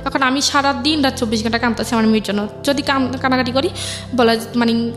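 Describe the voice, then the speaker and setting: A young woman with a high voice and a Bangladeshi accent tells her personal story in an interview. She talks quickly and colloquially, repeating phrases and quoting her family. Faint music runs underneath. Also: steady tone. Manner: colloquial dialect forms, repeats herself, quotes family members. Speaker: interview guest. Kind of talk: personal life story told conversationally.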